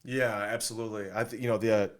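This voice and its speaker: A man's voice: fairly low pitch, flat delivery, quick pace. A man hosting a podcast in an upbeat interview style, enthusiastic and affirming as he talks. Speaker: podcast host.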